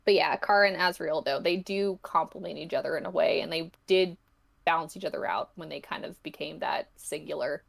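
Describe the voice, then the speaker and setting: A woman's voice: sits low, fairly quick, creaky. A woman speaking as a podcast guest, explaining their own creative work in a chatty, informal way. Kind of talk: casual interview chat about their own story.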